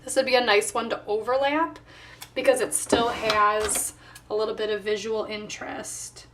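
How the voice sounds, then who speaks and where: A woman with a slightly hoarse voice, casually narrating her craft work and thinking aloud as she goes. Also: on the high side, steady tone, distant mic. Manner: unhurried thinking aloud while working. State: slightly hoarse, voice not recovered. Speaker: planner vlogger.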